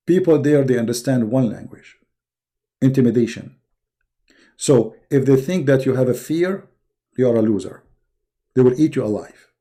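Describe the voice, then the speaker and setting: A man's voice: mid-range voice, dark tone. A man from the Middle East, a native Arabic speaker with an Arabic accent, giving informal, unscripted political commentary as a live-stream host, emphatic and often repeating and rephrasing his points.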